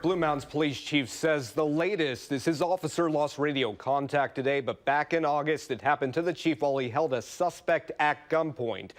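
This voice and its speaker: A man with a slightly high voice delivers a broadcast news report. He narrates in the structured style of a television reporter.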